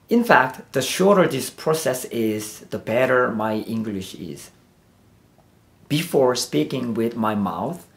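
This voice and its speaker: A man with a Korean accent, a native Korean speaker, preaching a sermon in English with a measured, carefully read delivery. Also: mid-range voice, vocal fry.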